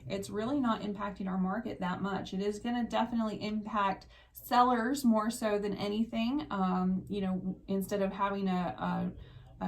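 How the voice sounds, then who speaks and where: A woman hosting a radio show, chatty and quick to laugh, talking casually with her guest about real estate. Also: medium pitch.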